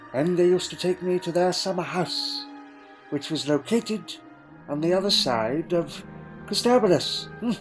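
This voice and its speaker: A man playing a wistful, eccentric time-travelling alien in an audio drama, with a halting, reflective way of talking. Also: on the high side. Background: faint music.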